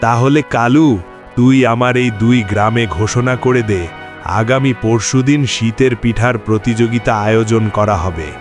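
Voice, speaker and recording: A man's voice: medium pitch, fairly even intonation, normal speed, close to an everyday voice. Man playing a lordly village headman over music. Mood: commanding. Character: authoritative, food-loving male village headman. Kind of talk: cartoon moral-tale acting. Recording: music behind the voice.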